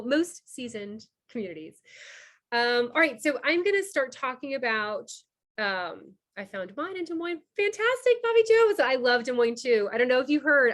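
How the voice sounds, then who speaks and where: A woman from Cuba hosting an online member orientation, talking fast and chatty with many fillers over a video call. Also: a little high, a bit bright. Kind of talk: casual online presentation.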